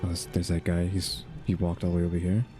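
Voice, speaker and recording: Low-voiced man doing casual game commentary. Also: level tone, quick pace. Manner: laid-back, thinking aloud. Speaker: gaming video creator. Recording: loud game music behind voice.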